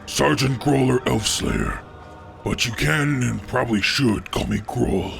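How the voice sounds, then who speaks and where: Old orc grunt male actor for radio drama: heavy rasping fry, gruff, blunt, animated intonation, faint music underneath. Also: mid-range voice, measured pace. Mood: gruff, dry. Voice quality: gravelly. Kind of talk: radio play acting.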